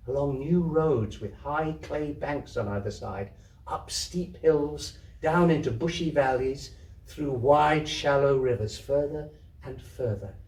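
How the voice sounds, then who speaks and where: A man giving an expressive live reading of a literary story indoors. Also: medium pitch. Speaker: theatre troupe reader. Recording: roomy echo, distant microphone.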